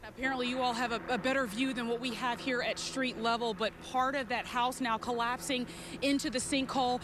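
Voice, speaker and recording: A woman's voice: a little high, steady tone, fairly quick, crowd noise. A woman field reporter delivering urgent, ad-libbed breaking news live from an outdoor street. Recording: clear outdoor street and vehicle noise. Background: helicopters and vehicles.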